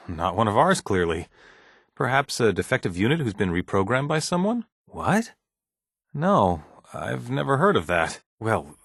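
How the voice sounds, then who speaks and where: An actor playing a mild, bureaucratic scientist in a video game, polite, measured and slightly uneasy, with a hesitant, deferential manner. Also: male voice, medium pitch, husky, no room sound, clean studio sound, heavily acted. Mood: puzzled, cautious. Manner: hesitant, deferential, measured office-professional speech. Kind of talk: video game dialogue acting.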